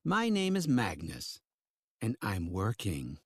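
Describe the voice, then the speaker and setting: A man's voice: on the low side, wildly swooping pitch, slow, husky, dry recording, exaggerated voice. A man voicing a fussy, curt male librarian in a game who talks in a prim, pedantic way. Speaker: game character. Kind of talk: voiced game dialogue.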